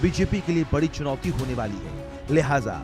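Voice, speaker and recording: Male reporter, fairly high register, scripted news package read, clear music bed. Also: lively intonation, a little fast.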